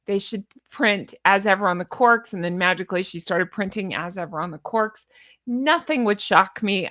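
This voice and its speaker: A woman's voice: medium pitch, fairly bright tone, no room sound, clean studio sound. A woman hosting casual, unscripted commentary on social media posts, chatty and rambling, with a sarcastic, mocking, exasperated manner. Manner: chatty, rambling, often breaking off to laugh at her own jokes. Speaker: commentary show host.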